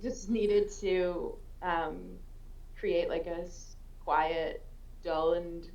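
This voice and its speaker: A woman, a guest voice actor, chatting casually and haltingly as she searches for words. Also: fairly low pitch, fairly even intonation, darkish tone, muffled recording. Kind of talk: casual livestream chat. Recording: distant mic with faint background noise.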